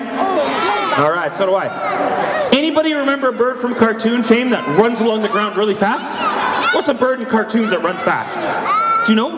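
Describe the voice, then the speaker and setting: A man with a fairly high voice runs a bird show for a crowd, upbeat and full of questions for the audience. His voice comes through a PA and rings around a big mall atrium. Crowd chatter and mall noise fill the space behind him. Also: reverberant, long reverb tail. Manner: upbeat, quizzing the audience, joking. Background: crowd chatter and mall ambience.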